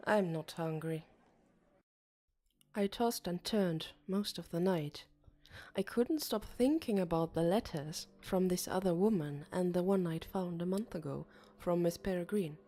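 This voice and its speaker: A woman narrating an audiobook as a pensive, uneasy teenage boy, in a measured, introspective way. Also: fairly low pitch, mellow, close to an everyday voice.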